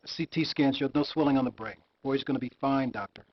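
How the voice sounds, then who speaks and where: An actor playing a brisk, world-weary senior emergency room doctor in a game drama. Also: male voice, medium pitch, natural voice. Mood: stern. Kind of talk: acted medical drama dialogue. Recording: muffled game video, faint noise.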